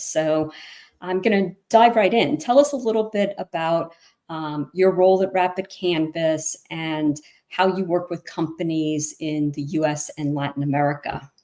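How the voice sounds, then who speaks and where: A woman's voice: lowish voice, a little mellow. A woman hosting a business interview, asking friendly, direct questions. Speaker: host.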